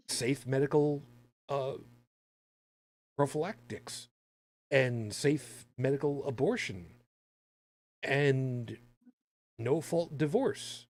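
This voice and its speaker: A man from the United States co-hosting a podcast, giving casual, opinionated commentary in a halting, emphatic, sardonic way. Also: mid-range voice, a little mellow. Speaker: podcast co-host.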